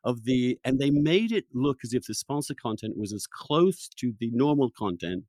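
A man hosting a conversational interview as a journalist, opinionated and emphatic, with halting restarts. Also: mid-range voice, animated, darkish tone, no echo, clean studio sound. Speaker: podcast host, journalist.

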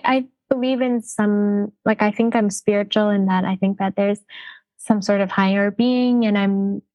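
A woman's voice: mid-range voice, darkish tone, no echo, poor audio. A woman from Canada, a guest in a casual interview, speaking thoughtfully with many hesitations and fillers. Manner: thoughtful, hedging, frequent "um" and "like".

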